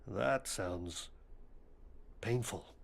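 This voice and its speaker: An actor playing a morbid, bookish, eccentric occult professor in a video game, speaking formally and pedantically with a deadpan air. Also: male voice, fairly low pitch, very slow, gravelly, exaggerated voice. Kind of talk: video game cutscene acting.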